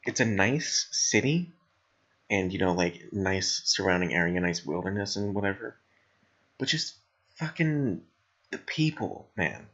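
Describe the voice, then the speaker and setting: Young male podcast host, very low and raspy with vocal fry, blunt and foul-mouthed, chatting loosely. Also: lively intonation, unhurried, a bit bright, lo-fi sound. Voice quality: slightly raspy, gravelly. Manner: rambling, blunt. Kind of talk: casual chat, heavy swearing.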